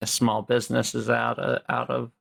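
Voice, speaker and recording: A man from the United States in a casual podcast chat, blunt and sweary in a bleak way. His voice is gravelly with a lot of fry, at a middle pitch. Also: completely flat delivery, measured pace, fairly bright tone. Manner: blunt, profane, nihilistic. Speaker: podcast co-host.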